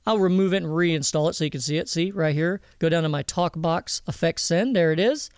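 A man explaining audio software step by step in an informal tutorial, often checking that the listener follows. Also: a little high, a little fast, clear, resonant tone, no echo, clean studio sound. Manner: explanatory, checks understanding with "okay?", "all right?". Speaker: audio mixing instructor.

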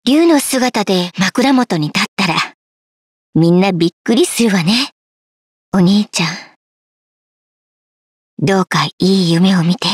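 An actress playing a soft, dreamy dragon woman in a video game, with a tender, sleepy, wistful delivery. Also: mid-range voice, lively intonation, normal speed, long pauses, breathy edge, no room sound, clean studio sound. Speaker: voice actor. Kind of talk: scripted Japanese game character voice clips.

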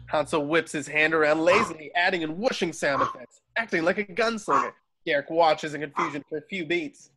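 A man's voice: fairly high pitch, fairly quick, pushed and bright, slightly rough. A man narrating stage directions from a script in an amateur table read over a video call.

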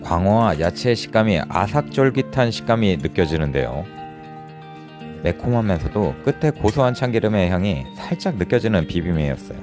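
A man's voice: low voice, big pitch swings, moderate pace. A man hosting a food review vlog with scripted voice-over narration. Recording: loud music behind the voice. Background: music.

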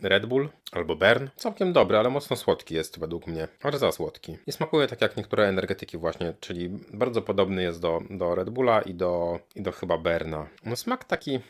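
A man's voice: low-pitched, lively intonation, normal speed, few pauses. A man with a Polish accent giving a casual, plain-spoken product review as a channel host.

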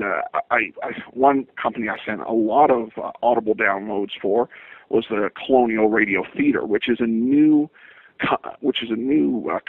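A man answering interview questions as a podcast guest, speaking in a halting, hesitant, stop-start way over a lo-fi line. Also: fairly low pitch, creaky, husky, no echo. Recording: tinny lo-fi phone-like line.

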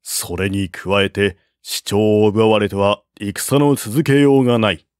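A man narrating a classical war novel as an audiobook, in a measured, even storytelling manner. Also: on the low side, no echo, clean studio sound.

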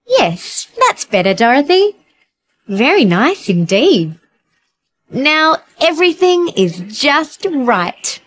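Slow, lilting woman narrator for children. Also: high voice, sing-song. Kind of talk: scripted children's storytelling narration.

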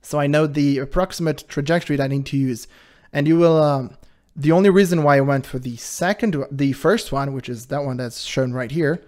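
A man with a high-pitched voice hosting his channel, giving casual commentary in an animated, defensive way and laughing often. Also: fairly quick. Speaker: channel host reacting to a video.

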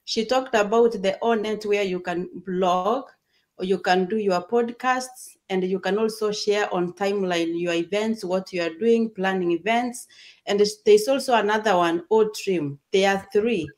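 A woman from Kenya hosting a video call interview, explaining things in an upbeat, quick way. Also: mid-range voice. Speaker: host. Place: home room. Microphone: webcam on video call.

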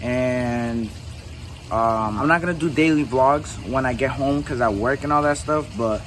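A man's voice: medium pitch, a little slow, a bit bright, a little hiss or hum. Slangy young man vlogging by a pool. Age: young adult. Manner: loose slangy chatter, laughs a lot. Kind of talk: casual vlog chat. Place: outdoors by a backyard pool. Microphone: phone held at arm's length.

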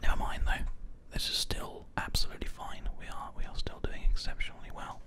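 A gaming host whispering ASMR gameplay commentary very close into a binaural microphone. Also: brisk. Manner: hushed whispering throughout. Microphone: close binaural microphone, ear to ear.

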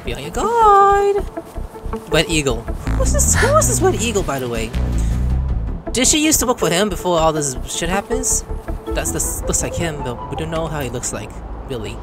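A man talks casually over a story game he is playing, excitable and quick to swear. His pitch leaps wildly between mumbles and shouts. Loud game music plays underneath. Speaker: gameplay commentator. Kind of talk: casual let's play commentary.

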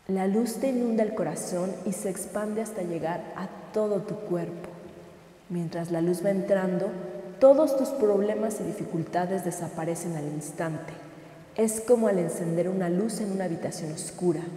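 A woman guiding a scripted sleep meditation in a slow, soothing, gentle manner. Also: on the low side. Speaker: meditation guide. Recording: echoey with long reverb.

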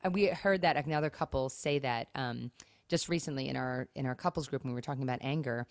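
A woman's voice: a bass voice, fast talker, no room sound, studio quality. A woman co-hosting a casual podcast conversation, speaking emphatically and acting out imagined remarks. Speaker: podcast co-host. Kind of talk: casual conversational talk on marriage and parenting.

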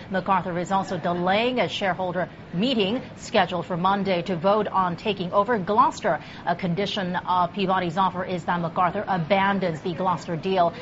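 A woman anchoring TV business news, reading the script smoothly and then ad-libbing with hesitant fillers. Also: medium pitch, fairly bright tone, highs cut off, a little hiss or hum.

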